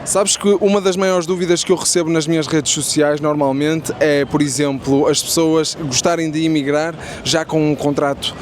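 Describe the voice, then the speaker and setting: A young man with a European Portuguese accent runs a casual street interview, friendly and talkative, with restarts and fillers. His voice sits a little high for a man. Faint city traffic hums behind him outdoors. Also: little pitch movement, normal speed, hardly any pauses. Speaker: street interviewer and content creator.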